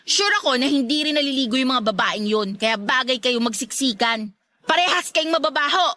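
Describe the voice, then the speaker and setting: A woman's voice: sits high, normal speed, no echo. A woman acting a feisty, disdainful young woman in a radio drama, scolding and haughty as she rebuffs a suitor. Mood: annoyed. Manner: scolding, haughty, sharp-tongued.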